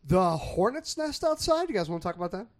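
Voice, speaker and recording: A man hosts a loose podcast among friends, joking and laughing often. His voice sits a little high and swings widely in pitch. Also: big pitch swings, quick pace. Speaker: podcast host. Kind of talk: casual chat.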